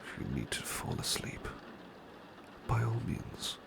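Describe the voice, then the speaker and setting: A man playing a devoted, gentle British butler in an ASMR roleplay, speaking softly and breathily, often in a whisper, with tender deference. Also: mid-range voice, sing-song, unhurried, exaggerated voice. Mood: soothing, affectionate. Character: devoted, gentle male butler soothing a sleepy master. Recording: clear background ambience noise, rain. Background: rain ambience.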